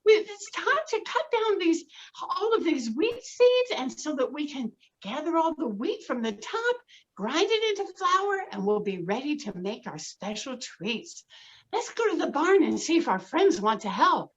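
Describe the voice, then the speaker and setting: A woman doing the little red hen, squealing with excitement. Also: sits high, a little slow, pitched above the performer's own voice. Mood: excited. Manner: squealing, breathless, gushing. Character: excited, bubbly mother hen with chicks. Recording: slightly dull lo-fi recording.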